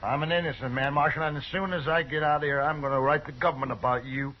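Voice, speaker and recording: A man's voice: on the high side, fairly even intonation, quick pace, a little hiss or hum, lightly acted. An actor playing an evasive, insolent hired gunman in an old radio western, folksy and protesting. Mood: defiant, sneering. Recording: dull old radio recording.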